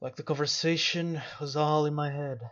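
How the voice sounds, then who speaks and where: A man's voice: on the high side, fairly even intonation, moderate pace, fairly bright tone, dull audio. A man hosting a casual game playthrough, talking reflectively and often repeating his phrases as he goes. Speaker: gaming video host.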